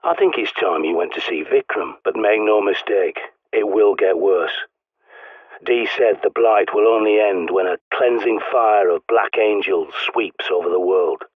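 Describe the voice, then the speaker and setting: Gruff survivalist bloke on a tinny radio, husky low voice, bossy, sarky, video game. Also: male voice, measured pace, dry recording. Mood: grave, explanatory. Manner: blunt, commanding, sardonic. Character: gruff, bossy middle-aged British survivalist guiding by radio. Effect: radio transmission filter. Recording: tinny, band-limited radio with static.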